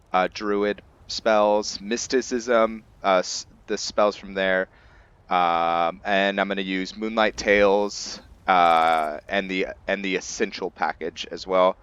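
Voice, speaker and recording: A man presenting a fantasy roleplay game playthrough as its host and narrator, speaking in an unhurried way. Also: lowish voice, a bit bright, clean tone, dry recording, highs cut off. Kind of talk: dramatic story narration, then informal commentary.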